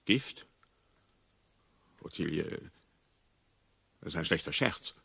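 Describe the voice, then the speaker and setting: An actor playing a weary, grumbling older husband in a radio drama, with a gravelly, sour delivery. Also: male voice, extremely low pitch, expressive, takes it slowly, sharp tone, heavily acted. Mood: alarmed. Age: middle-aged or older.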